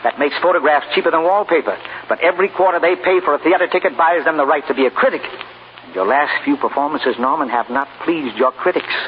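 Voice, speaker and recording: A man's voice: on the high side, fast talker, close to an everyday voice. A man playing a genial but blunt film studio boss in a 1940s radio drama. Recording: dull, noisy 1940s radio recording.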